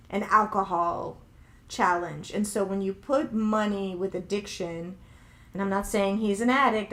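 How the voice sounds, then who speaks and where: A woman of middle age whose voice sounds young for her years, at a middle pitch for a woman. She talks through her thoughts on a podcast in a loose, reflective way, and she keeps qualifying her points as she goes. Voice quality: youthful-sounding voice. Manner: reflective, conversational, hedging with "allegedly" and "if you will". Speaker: podcast host. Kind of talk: casual commentary podcast, reading viewer comments.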